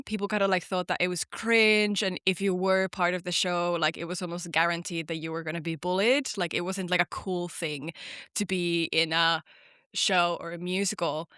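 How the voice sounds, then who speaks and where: Chatty Finnish woman, bright and crisp. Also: lowish voice, no echo, studio quality. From Finland. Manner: chatty, many "like" fillers, lively storytelling. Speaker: podcast guest, actor. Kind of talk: casual interview chat.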